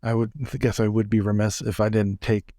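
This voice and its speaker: A man's voice: on the low side, level tone, a little fast, warm tone, dry recording, studio quality. A man casually describing his character's moves as a player in a tabletop roleplaying game.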